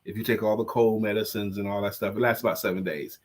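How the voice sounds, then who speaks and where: A man's voice: fairly low pitch, steady tone, quick pace. A congested man co-hosting a casual live-stream chat, joking and self-deprecating. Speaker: co-host and coach.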